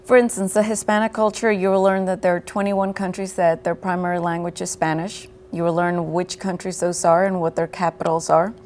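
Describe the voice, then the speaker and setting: A woman guest explaining a community program in an interview, clear and full of examples. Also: fairly low pitch, little pitch movement, fairly quick, few pauses, darkish tone, a little hiss or hum. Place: local TV studio.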